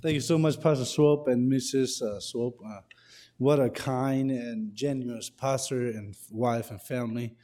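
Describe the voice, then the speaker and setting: A male guest preacher thanks the congregation before his sermon. He is earnest and a little halting, often restarting words. His voice rings with the long reverb of a church hall. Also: medium pitch, fairly even intonation, warm tone. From Burma. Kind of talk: sermon opening.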